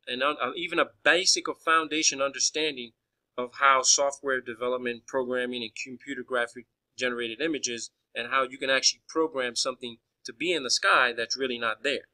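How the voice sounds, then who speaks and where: A man with a strikingly bright, tense voice at an ordinary male pitch. He talks like a caller in an argumentative phone conversation. He is insistent, often doubling back to repeat or restart a phrase. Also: fairly even intonation, brisk, poor audio. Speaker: caller questioning a space agency official.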